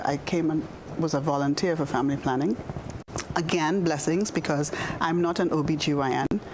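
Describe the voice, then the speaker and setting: A woman in her sixties from the Bahamas, a guest doctor telling her story in a studio interview, unhurried, with many fillers and restarts. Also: low voice, noisy background. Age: 60s. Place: television studio.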